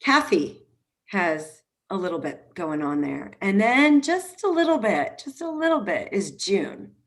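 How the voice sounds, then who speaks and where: Slow-paced, tentative woman moderating. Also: medium pitch, very animated. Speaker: discussion moderator. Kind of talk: casual discussion moderation. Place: online video call. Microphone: video call.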